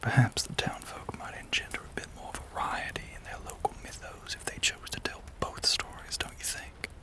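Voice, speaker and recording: A man playing a lonely, brooding Southern gentleman vampire in an intimate audio roleplay, with a Southern US accent, a breathy voice and genteel, old-fashioned phrasing. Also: normal speed, close to an everyday voice. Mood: bitter, wry. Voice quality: breathy, whispery.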